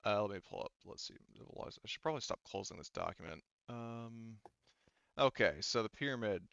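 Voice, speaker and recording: A man running a tabletop game as game master, narrating casually in a halting, rambling way full of filler phrases. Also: on the low side, lively intonation, gravelly, a bit bright, clear, resonant tone, dry recording, highs cut off. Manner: halting, rambling, frequent "like" and "you know"; casual swearing.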